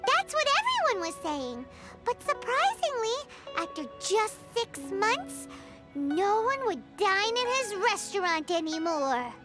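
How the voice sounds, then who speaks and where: A woman voicing a tiny, bubbly, bossy childlike fairy girl in an anime game, squeaky and excitable. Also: unhurried, exaggerated voice. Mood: eager storytelling. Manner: excitable, exclamatory storytelling. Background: music.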